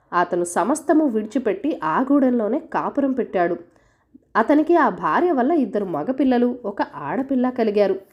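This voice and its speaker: Woman reading a kids folk tale, kinda high voice, really lively up and down. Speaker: storyteller. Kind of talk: scripted narration of a folk tale for children.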